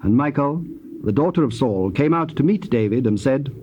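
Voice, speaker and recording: A man narrating dramatized scripture in a measured, formal storytelling manner. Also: medium pitch, expressive, dark tone. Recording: dull tape with faint noise.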